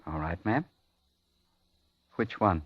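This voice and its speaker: Muffled vintage radio drama, quiet diner counterman, low voice, terse, guarded, polite, sinister. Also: animated, slow, husky, heavily acted. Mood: guarded, flat. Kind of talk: 1950s radio thriller acting. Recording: dull 1950s radio recording, faint noise.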